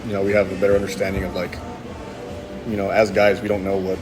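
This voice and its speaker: Hesitant man with a lowish voice. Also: completely flat delivery, fast, heavy background noise. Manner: hesitant, many fillers. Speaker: band member. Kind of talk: casual chat.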